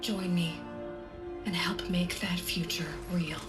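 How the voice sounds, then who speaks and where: A woman playing a solemn scientist who makes an earnest, hopeful plea, speaking deliberately in a dramatic game style. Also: on the low side, fairly even intonation. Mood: solemn, pleading. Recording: roomy echo under loud music.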